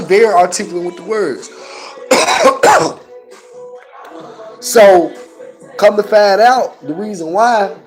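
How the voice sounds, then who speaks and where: A man in his thirties with a Memphis accent and a hoarse, coughing voice, reviewing a movie casually and profanely to his phone camera. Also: high voice, slow pace, long pauses, faint music behind. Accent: Southern US, Memphis. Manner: profane, animated, talks straight to camera.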